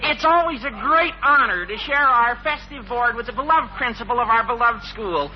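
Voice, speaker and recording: An actor playing an overeager, florid American teenage schoolboy in a 1950s radio sitcom. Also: male voice, extremely high pitch, moderate pace. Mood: fawning. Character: eager, blabbermouth teenage high-school boy, florid and flattering. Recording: dull 1950s radio recording, faint noise.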